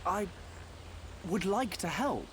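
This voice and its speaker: A kind, eager young hero in a video game drama. His voice is fairly high and moves freely in pitch, with an earnest manner. Ambient noise is clearly heard behind him. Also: very animated, slow pace, exaggerated voice. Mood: hesitant, sincere.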